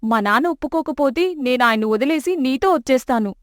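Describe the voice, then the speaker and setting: A woman's voice: high voice, animated, brisk, no echo, clean studio sound. A woman voicing a loving young daughter in a storybook tale, devoted and pleading.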